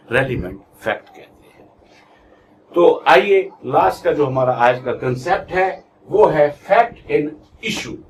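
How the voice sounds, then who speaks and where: A Pakistani man lectures on law at a slow, deliberate pace, in a somewhat high voice. He mixes Urdu and English and explains in a didactic, step-by-step way. There is faint noise behind him. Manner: didactic, reads definitions out word by word then explains.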